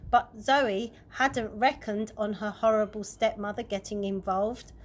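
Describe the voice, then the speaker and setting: A woman's voice: mid-range voice, fairly even intonation, a little slow. A woman reading a chapter book aloud as bedtime story narration for children. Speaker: early years practitioner reading aloud.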